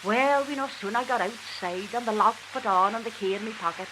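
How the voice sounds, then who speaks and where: A woman's voice: on the high side, fairly quick, close to an everyday voice. A woman reciting a dialect tale as a talkative, exasperated Manx country wife confiding in a neighbour, on a hissy 1940s archive recording. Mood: chatty, confiding. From Isle of Man. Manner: animated, confiding, repeatedly addressing her listener.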